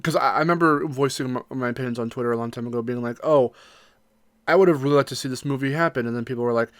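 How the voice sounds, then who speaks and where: Man, medium-pitched voice, dry close recording, casual podcast co-host, reflective, halting. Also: no room sound.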